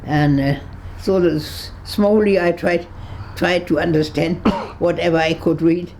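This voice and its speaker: A woman over one hundred, from Berlin, recalling her past in an interview, halting and searching for words with frequent restarts, and laughing easily with dry humor. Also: on the low side, steady tone, a little mellow, slight background noise. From Berlin, Germany. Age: 100s. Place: her home on a kibbutz.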